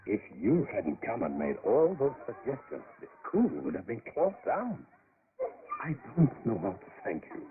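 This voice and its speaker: An actor playing a grateful male school director in an educational radio drama, speaking in an expressive, formal way. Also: mid-range voice, normal speed. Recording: noisy shortwave broadcast, tinny band.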